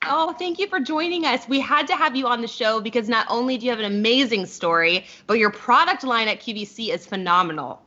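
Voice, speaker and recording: A woman's voice: a little high, brisk, hardly any pauses, bright, forceful tone, muffled recording. A woman hosting an interview series, upbeat and effusive with praise for her guest. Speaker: host.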